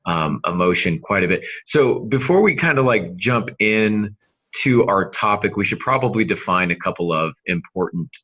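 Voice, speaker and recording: Bright-voiced low man on a dull podcast line. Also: lively intonation, normal speed. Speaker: podcast host, novelist. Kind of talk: casual podcast discussion. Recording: dull lo-fi sound.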